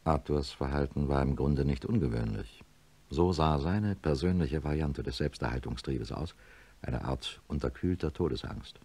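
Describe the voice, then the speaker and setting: Very deep, husky narrator. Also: male voice, animated, moderate pace, mellow, full character voice. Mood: reflective. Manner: sober, reflective. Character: reflective male professor recounting his patient's story. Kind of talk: scripted first-person radio play narration.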